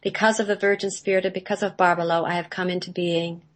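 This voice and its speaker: A woman's voice: on the low side, little pitch movement, fast, lightly acted. A woman playing a divine spirit of creation in a radio play, making solemn, incantatory declarations. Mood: reverent.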